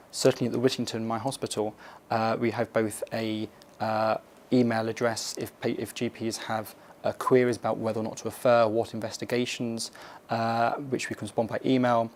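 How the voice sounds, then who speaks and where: A man with a medium-pitched voice and little melodic movement answers as a hospital consultant. He phrases things formally and haltingly, as in an educational film interview, over a faint layer of noise. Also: little pitch movement, darkish tone.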